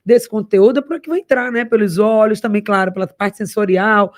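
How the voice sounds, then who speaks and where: A woman's voice: mid-range voice, a little fast. A woman hosting a radio interview, asking questions and emphatically finishing the guest's points. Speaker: radio host.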